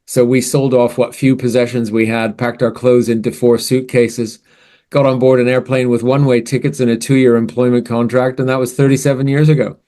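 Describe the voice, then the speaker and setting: A man in his sixties from Canada, a podcast guest telling his career story in a relaxed, conversational way. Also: mid-range voice, brisk. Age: 60s. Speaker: podcast guest, finance strategist. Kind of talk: casual interview answers.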